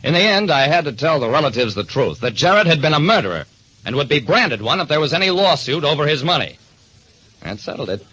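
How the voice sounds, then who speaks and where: A husky American man narrates like a radio detective, dramatic and hard-boiled. His voice is bright and forceful with lively swings in pitch, on a slightly dull recording. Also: fast. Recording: dull, band-limited recording.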